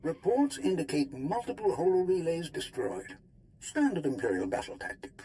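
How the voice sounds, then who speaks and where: A man's voice: on the high side, huge pitch swings, moderate pace, mellow, a little hiss or hum, poor audio. A man playing a precise, analytical researcher in a video game, explaining in a technical manner. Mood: clinical. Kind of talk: scripted video game acting.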